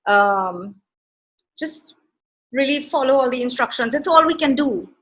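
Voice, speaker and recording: A middle-aged woman from India with a Trinidadian lilt talks as an interview guest. She speaks earnestly in a fairly high voice and often pauses on fillers and restarts. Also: lively intonation, fairly bright tone, low-quality recording. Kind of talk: casual interview chat.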